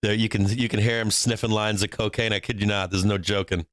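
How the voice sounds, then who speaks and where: Boston guy calling trades live, low smooth voice, joking around, dry close mic. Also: flat delivery, brisk, fairly bright tone, dry recording. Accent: faint Boston. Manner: brash, joking, laughs often. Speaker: stock market livestream host. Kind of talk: live trading commentary.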